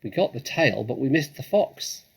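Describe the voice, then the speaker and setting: Guy doing storybook baddie farmer, hard n bossy, gravelly husky, blunt. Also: medium pitch, big pitch swings, normal speed, pitched above the performer's own voice, heavily acted. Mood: impatient. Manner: blunt, commanding. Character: hard, determined farmer villain hunting foxes.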